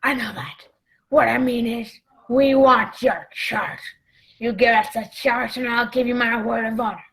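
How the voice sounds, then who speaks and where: A woman's voice: fairly high pitch, fairly even intonation, a little slow, poor audio. A woman in a radio play acting a wily, menacing old male pirate leader with blustering, old-fashioned seafaring talk. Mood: threatening bargaining.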